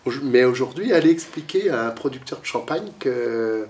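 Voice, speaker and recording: A man's voice: mid-range voice, animated, normal speed, a little mellow, recorded from a distance. A man with a cold giving a talk to a library audience, lecturing with lots of fillers and restarts, coughing now and then. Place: library meeting room.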